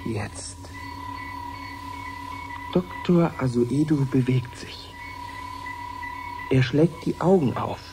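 An actor playing an earnest live radio reporter in a radio play, formal, explanatory and expectant. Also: male voice, medium pitch, drawn-out words, long pauses, over music. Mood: hushed excitement. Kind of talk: German radio play acting as a live broadcast.

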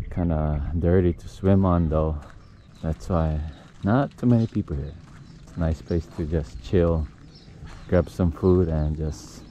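A young man with a low, deep voice narrates unhurriedly as he strolls along a quiet bayside park, thinking aloud with easy "oh"s and "all right"s. It is caught on an action camera outdoors, with birds and open-air ambience clearly audible behind him. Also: highs cut off. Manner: relaxed, musing aloud, frequent "oh" and "all right". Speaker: vlogger. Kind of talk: casual walking vlog narration. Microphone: action camera held or mounted while walking. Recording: outdoor camera audio with clear nature noise.

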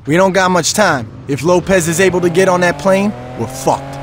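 An actor playing a brash, foul-mouthed young American street gangster with slangy, streetwise talk in a video game. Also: male voice, high-pitched, normal speed, over music, natural voice. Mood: urgent.

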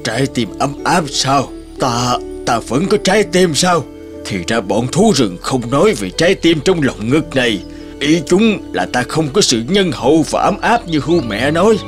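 A man's voice: on the high side, big pitch swings, moderate pace. A man voicing a blustering stammering lion. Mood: thoughtful. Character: gruff male lion, lonely and softening. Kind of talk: cartoon voice acting. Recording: music behind.

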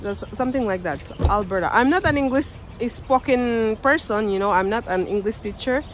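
A woman's voice: on the high side, brisk, slight background noise. A woman in her thirties from the Philippines with a Filipino accent, talking casually to a phone camera outside on a snowy driveway. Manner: chatty, explaining, loose grammar. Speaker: vlogger. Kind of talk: casual vlog talk to camera. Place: outdoors on a snowy suburban driveway. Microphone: phone held at arm's length.

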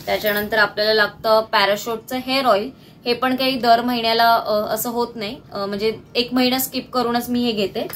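A woman's voice: fairly high pitch, steady tone, normal speed, a bit bright, slight background noise. A woman, chatting casually to viewers and explaining things item by item like a home vlogger. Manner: explanatory, itemising. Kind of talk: casual product haul chat to viewers. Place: small indoor room at home, seated on the floor.

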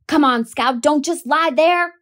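Bossy, excitable young boy, high and loud, yelling orders at his sister , read by a woman. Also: normal speed, natural voice. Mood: panicked shouting. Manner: shouting, urgent, scolding.

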